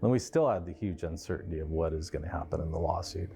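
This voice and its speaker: A man's voice: sits low, fast, creaky, warm tone, a little hiss or hum. A man, a studio executive, recalling old business deals in a documentary interview, in a casual, conversational way with quoted asides.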